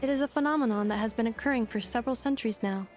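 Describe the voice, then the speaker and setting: A woman voicing a polite female robot guide in a video game, formal, measured and analytical. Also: on the high side, a little mellow, natural voice. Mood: solemn storytelling. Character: polite, precise young female robot guide, literal and composed. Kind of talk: video game character dialogue. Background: music.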